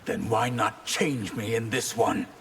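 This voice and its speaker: Fallen sorcerer, gravelly and resentful, ambitious, fighting game, clear background noise. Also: male voice, fairly low pitch, measured pace, a bit bright. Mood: bitter. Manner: resentful, formal. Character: bitter, ambitious fallen male high mage. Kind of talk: video game voice acting.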